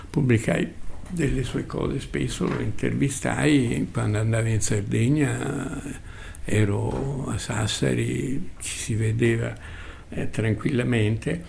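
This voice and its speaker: An older man reminiscing as a radio host, speaking in a halting, reflective way with repetitions and long hesitations. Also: mid-range voice, gravelly, darkish tone, slight background noise. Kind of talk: spoken reminiscence, literary commentary.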